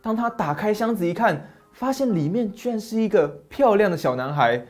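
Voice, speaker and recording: A man's voice: high voice, animated, brisk, a little mellow, faint music behind. Dramatic middle-aged male storyteller. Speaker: storyteller host. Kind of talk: scripted fairy-tale narration. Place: small dim study set.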